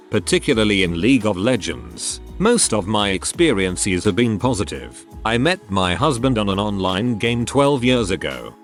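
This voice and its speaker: A man reading online forum posts aloud as a narrator, in a steady reading voice. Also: fairly low pitch.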